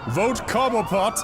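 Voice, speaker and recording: A scheming male crime boss in an audio drama, smug and falsely courteous. He drawls very slowly in a raised, wildly swinging pitch over loud siren noise. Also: exaggerated voice. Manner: smug, chuckling, mock-polite.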